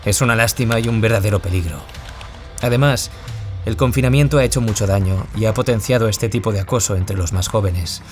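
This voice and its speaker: A man's voice: lowish voice, a little fast, close to an everyday voice. Actor as earnest Spanish male author over music. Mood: reflective, serious. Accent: Peninsular Spanish. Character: earnest adult male author, a survivor of school bullying. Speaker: interview guest and author. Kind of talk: scripted radio interview acting.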